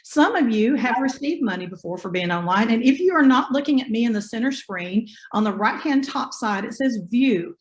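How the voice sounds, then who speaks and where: A woman with a hoarse voice from bronchitis, teaching a live online class over a video call in a folksy, encouraging way and asking her students questions. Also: fairly high pitch, animated, normal speed, hardly any pauses, roomy sound. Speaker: online business instructor. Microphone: computer mic on a video call.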